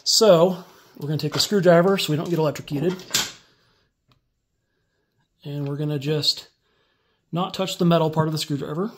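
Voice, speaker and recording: A man walking through a hands-on build step by step in a relaxed, casual tutorial, filmed on a phone over a workbench indoors. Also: fairly high pitch, normal speed, long pauses, slight background noise. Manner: relaxed step-by-step explaining while working. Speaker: DIY tutorial maker.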